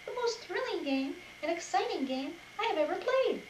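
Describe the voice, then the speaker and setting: Woman doing a shrill, showy girl bunny. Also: animated, moderate pace, echoey room, slight background noise, poor audio. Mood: excited. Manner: shrill, gushing, theatrical. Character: vain, showy girl rabbit.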